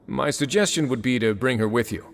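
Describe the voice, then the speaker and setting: An actor voicing a dignified duke in a video game, speaking in a formal, measured, courteous way. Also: male voice, medium pitch, close to an everyday voice. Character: dignified composed male duke, judicial authority. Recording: game audio with music underneath.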